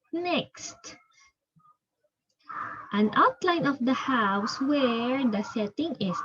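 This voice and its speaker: Husky woman teacher, slow and lively-pitched. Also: lo-fi sound. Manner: halting, repetitive, often checks understanding. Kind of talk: elementary reading lesson, explaining instructions step by step.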